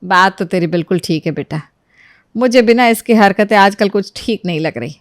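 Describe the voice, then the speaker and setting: Woman playing doting older mother-in-law, motherly, easily swayed, mid pitch, story drama, dry sound. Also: moderate pace, no echo, lightly acted. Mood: indignant. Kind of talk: dramatized Hindi moral story acting.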